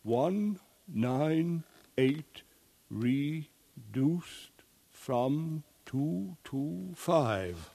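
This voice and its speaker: Dismissive scholar, declaiming, slow, dry close sound, vintage radio drama. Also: male voice, fairly high pitch, expressive, creaky, dark tone, no echo, heavily acted. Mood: awed. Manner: pompous, declaiming. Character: male expedition-leading scholar of the far future, pompous, dismissive. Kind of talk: 1950s radio drama acting.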